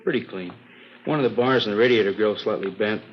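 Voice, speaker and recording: Police investigator male actor, matter-of-fact, fast clipped phrases, lowish voice, dull old radio sound. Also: natural voice. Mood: businesslike. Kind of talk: 1950s radio police drama, clipped dialogue. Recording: dull, faintly hissy old radio recording.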